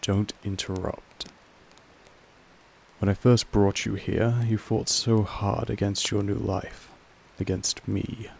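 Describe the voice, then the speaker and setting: Possessive male vampire master played by a man for roleplay audio: low, evenly pitched, measured, patronizing, aloof. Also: gravelly, darkish tone, slight background noise. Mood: measured, proud. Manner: measured, formal, condescending. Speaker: voice actor in a roleplay audio drama. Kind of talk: slow intimate monologue addressed to the listener.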